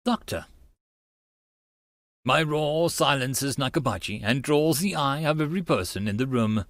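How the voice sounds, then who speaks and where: A man's voice: medium pitch, lively intonation, unhurried. A man narrating a first-person story in a dramatic audiobook style.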